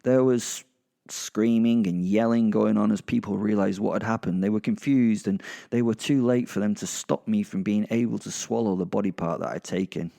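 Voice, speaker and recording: Male narrator, low and mellow, sardonic and foul-mouthed, for first-person comic horror audio drama. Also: steady tone, moderate pace, creaky. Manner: gleeful, sardonic, sweary.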